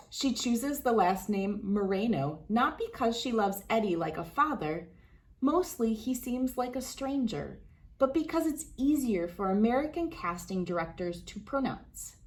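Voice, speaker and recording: A woman reading a children's story aloud as host, with dramatic, deliberate phrasing and clear emphasis. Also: medium pitch. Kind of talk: expressive picture-book read-aloud.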